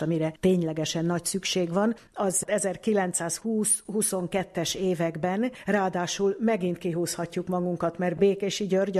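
A woman's voice: fairly low pitch, darkish tone. A woman speaking as an expert guest, explaining enthusiastically and emphatically in an interview.

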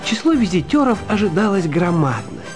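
A man's voice: high voice, very animated, fairly quick. A man narrating a classic short story expressively, in the theatrical style of a radio drama. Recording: studio radio drama with music behind.

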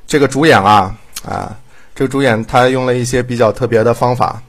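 A man with an average-pitched voice talks informally as a director. His delivery is blunt and halting, broken into short phrases, with a dry, teasing edge. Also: steady tone, gravelly. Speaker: director of an audio drama. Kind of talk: casual chat.

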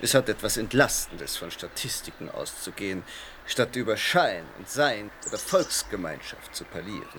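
An actor playing a sardonic, ironic dinner guest in a radio play. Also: male voice, fairly low pitch, very animated, moderate pace, a bit bright, a little hiss or hum. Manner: ironic, cutting.

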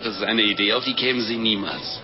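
Jovial gluttonous monk, male actor, radio drama; effusive piety, muffled lo-fi sound under loud music. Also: lowish voice, fairly even intonation, fast talker, natural voice. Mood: jovial, confident. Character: jovial, fat little mendicant friar obsessed with food. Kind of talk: German radio play acting.

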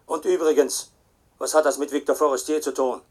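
A man's voice: on the high side, steady tone, a little fast, darkish tone, close to an everyday voice. An actor playing a stern, defensive supervisor in a radio play, curt and dismissive in his replies.

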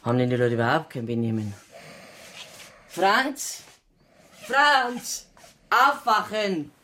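A woman's voice: fairly low pitch, sing-song, takes it slowly, bright, forceful tone, slight background noise, exaggerated voice. An actress playing a put-out tavern landlady in a radio drama, blunt and grumbling. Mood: annoyed.

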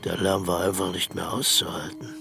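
An actor playing a haunted aging dictator in a radio play, brooding and slipping into whispers. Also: male voice, sits low, level tone, moderate pace. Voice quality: gravelly, creaky voice. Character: aging male dictator, haunted, recalling his own death. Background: music.

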